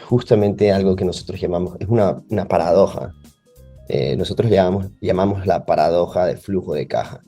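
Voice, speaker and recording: A man with a low, dark, mellow voice and an Ecuadorian Spanish accent. He explains things at length and fills the gaps with many hesitations. Faint music plays behind him. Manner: explanatory, uses many fillers. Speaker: guest. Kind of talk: podcast interview.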